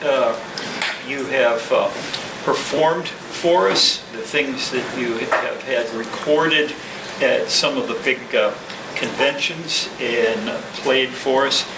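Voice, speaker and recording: Halting man speaking in an echoey room. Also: medium pitch. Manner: halting, deliberate, modest. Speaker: newly elected club president. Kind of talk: informal acceptance speech at a club meeting. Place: meeting room, at a lectern. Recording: echoey room, distant mic, noisy.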